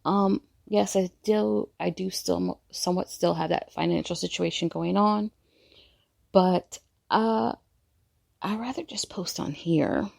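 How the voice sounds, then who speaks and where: A woman poet hosts her podcast in a low, heavily creaky voice. Her tone is dark and soft, and she takes her time. The sound is intimate and dry, with almost no room around it. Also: fairly even intonation, measured pace, dry recording. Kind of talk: intimate spoken-word poetry reading and casual podcast chat.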